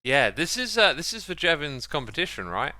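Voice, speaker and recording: A man's voice: on the high side, big pitch swings, brisk, bright, forceful tone, no room sound. A man giving casual, chatty gameplay commentary as a video host, with playful exclamations, close on the mic.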